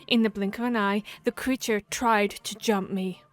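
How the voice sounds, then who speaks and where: A woman playing a boastful, playful vampire hunter in a fantasy audio roleplay, speaking in a theatrical, expressive storytelling manner. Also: mid-range voice, moderate pace, natural voice. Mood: dramatic, proud. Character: boastful, playful female vampire hunter telling tavern tales. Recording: music behind the voice. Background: background music, tavern ambience.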